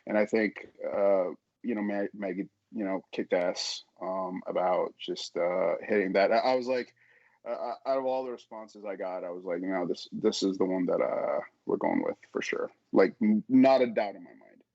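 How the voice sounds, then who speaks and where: A man chatting casually as an interview guest, halting as he repeats words and backtracks through his thoughts. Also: low voice, expressive, vocal fry, darkish tone, dry recording, muffled recording. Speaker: podcast guest, author.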